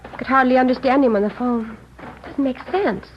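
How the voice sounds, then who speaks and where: A woman's voice: high-pitched, fairly quick, natural voice. An actress playing a sorrowful young woman in a radio drama, speaking in short, reluctant sentences. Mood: subdued, sad. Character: subdued young woman, sorrowful sister of a fugitive. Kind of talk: 1950s radio drama acting. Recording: muffled old radio, noisy background.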